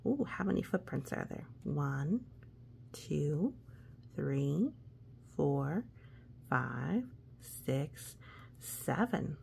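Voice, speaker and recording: A woman's voice: low-pitched, big pitch swings, very slow, gravelly, long pauses, dark tone, a little hiss or hum. A woman reading a children's story aloud with playful, exclamatory asides, talking as if to a young child. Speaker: picture book reader.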